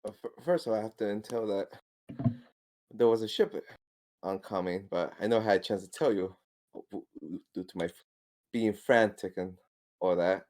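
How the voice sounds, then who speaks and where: A man's voice: fairly low pitch, normal speed, pauses often, a little mellow. A man playing a tabletop roleplaying game, improvising in character with stumbling, apologetic phrasing.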